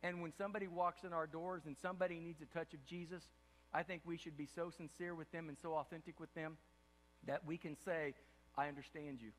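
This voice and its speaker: Impassioned high-voiced older pastor, reverberant hall. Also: male voice, fairly even intonation, a little fast, a little hiss or hum. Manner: impassioned preaching, repeats words, swings from loud to hushed. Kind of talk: sermon. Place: large dark church stage before an audience. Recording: long hall reverb of a big room.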